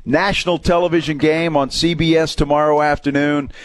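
A man's voice, set a little high, is heard with almost no room sound. He speaks as a sports radio host, casually working his way into questions for a guest. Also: fairly even intonation, fairly quick, no echo, studio quality.

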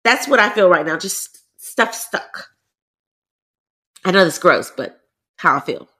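A woman with a blocked, congested nose chats as a podcast co-host. Her pitch rises and falls in a lively, animated way. She explains things plainly and at length. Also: unhurried, slightly rough. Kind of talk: casual chat.

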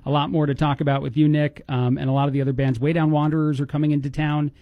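A man's voice: a little high, level tone, fast, no echo, highs cut off. A man from New Jersey hosting a radio talk show, upbeat and laughing along with guests. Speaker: radio host.

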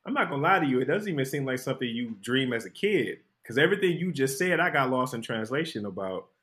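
A man's voice: medium pitch, sing-song, fast talker, fairly bright tone. A man hosting an interview, asking questions in a playful, joking, self-deprecating way. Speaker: host. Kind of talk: casual interview.

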